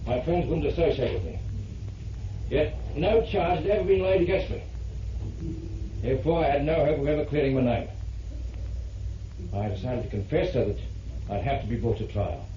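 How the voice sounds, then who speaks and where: A man's voice: mid-range voice, expressive, moderate pace, long pauses, warm tone, close to an everyday voice. Man playing earnest defendant in old radio courtroom drama, formal testimony, distant and roomy. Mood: earnest, defensive. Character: accused man stubbornly recanting his false confession. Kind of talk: vintage radio drama acting. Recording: muffled vintage radio, distant roomy sound, faint noise.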